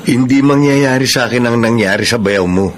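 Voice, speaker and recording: An actor playing an evasive, secretly smitten husband with a Filipino accent in a radio drama. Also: male voice, mid-range voice, a little fast, lightly acted. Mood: evasive, reassuring. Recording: clear background ambience noise.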